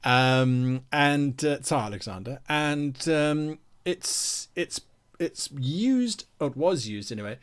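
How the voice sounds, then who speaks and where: A man's voice: mid-range voice, lively intonation, takes it slowly, clear, resonant tone. A man hosting a live worldbuilding stream, chatting casually and reading out viewer comments in an enthusiastic, playful way.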